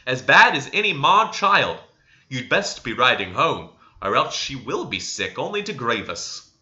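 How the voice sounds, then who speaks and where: A man's voice: mid-range voice, big pitch swings, a little slow, pushed and bright, dull audio, exaggerated voice. A man voicing an outspoken, dutiful housemaid with a blunt, protesting manner in a storybook reading. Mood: disapproving.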